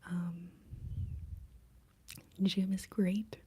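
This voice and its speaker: Breathy whispering American woman, casual and playful. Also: fairly low pitch, little pitch movement, very slow, mellow. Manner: playful, self-deprecating. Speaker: ASMR live streamer. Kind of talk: whispered ASMR, casual rambling chat with viewers.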